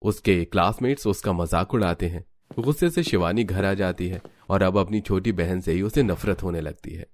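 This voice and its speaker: Low-voiced male cartoon story narrator. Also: moderate pace, darkish tone, dry recording.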